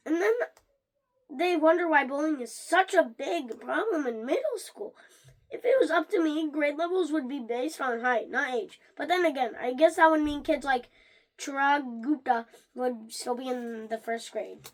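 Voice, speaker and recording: A high, female-sounding voice reads a children's book aloud for a read-along. The delivery is animated and a little halting, with stumbles and restarted phrases.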